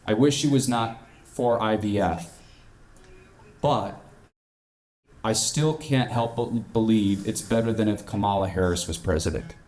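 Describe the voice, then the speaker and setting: A man speaking at a public talk in a slow, deliberate way. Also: on the low side, darkish tone.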